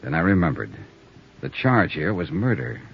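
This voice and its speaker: A man's voice: very deep voice, very animated, moderate pace, vocal fry, heavily acted. An actor playing a terse, hard-boiled insurance investigator who narrates his case in an old radio detective show. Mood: narrating. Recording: dull old radio broadcast with faint music.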